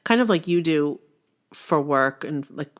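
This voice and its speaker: Nasal Maine woman with a lowish voice. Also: expressive, unhurried. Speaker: podcast co-host. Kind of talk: casual chat.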